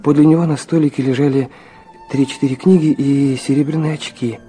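A man performs an intense young man weak from illness in a literary audio drama. His voice is medium in pitch with a dark, mellow tone. He is brooding and earnest, and his speech halts as he goes. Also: fairly even intonation, brisk, soft background music, lightly acted. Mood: startled, observant. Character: intense, proud, feverish young man, narrating his story.

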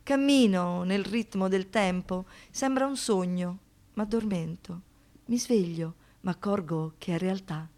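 An actress playing an anguished survivor of violence in a poetic radio monologue, speaking in halting phrases that shift between hush and outburst. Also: female voice, medium pitch, natural voice. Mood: dreamy, sombre. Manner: halting short phrases, dropping to whispers, rising to outbursts.

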